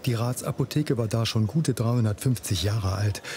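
A man narrating a TV report in an even, informative voice-over. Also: mid-range voice, moderate pace, a little hiss or hum. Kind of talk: scripted TV narration. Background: music.

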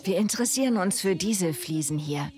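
Female voice-over for interviews, lowish register, scripted, noticeable crowd murmur in the background. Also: lively intonation, a little fast. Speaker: voice-over translator.

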